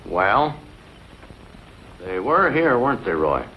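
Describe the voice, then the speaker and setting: An actor playing a brisk, determined sheriff in an old western film. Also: male voice, fairly low pitch, very animated, full character voice. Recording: muffled, noisy old film soundtrack.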